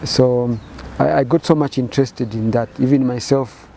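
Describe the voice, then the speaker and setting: A Zambian man in his fifties speaks slowly and thoughtfully, stopping often and repeating words as he gathers his thoughts. His voice is mellow and dark. Faint traffic noise hums behind him. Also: mid-range voice, fairly even intonation, vocal fry. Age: 50s. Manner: measured, halting phrasing with repeated words and fillers. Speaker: painter and photographer interviewee. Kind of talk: reflective interview answers.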